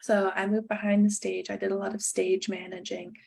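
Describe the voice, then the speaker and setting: A woman with a very quiet voice, a filmmaker guest talking candidly in a halting way with many fillers. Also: medium pitch, little pitch movement, vocal fry, breathy edge. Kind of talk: casual remote interview.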